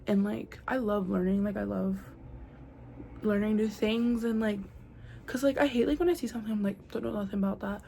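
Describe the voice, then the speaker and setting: A woman's voice: mid-range voice, fairly even intonation, a little fast, gravelly, mellow. A young American woman talking casually to camera, full of "like" and "girl", sometimes dropping to a whisper, recorded on a built-in mic with faint outdoor noise. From United States. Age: young adult. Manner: chatty, lots of "like" and "girl", drops to a whisper at times. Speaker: vlogger. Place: noisy spot with outside sound. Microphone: built-in device mic, no proper microphone.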